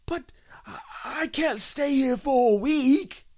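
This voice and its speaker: A plump innocent storybook bear, high whiny voice, slow n hesitant. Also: male voice, expressive, darkish tone. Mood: anxious. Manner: hesitant, drawn-out, plaintive exclamations.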